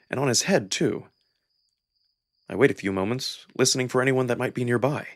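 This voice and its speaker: A man giving an expressive, dramatic first-person narration of a visual novel. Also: mid-range voice, no echo, clean studio sound. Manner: expressive, acted-out delivery. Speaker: narrator and voice performer.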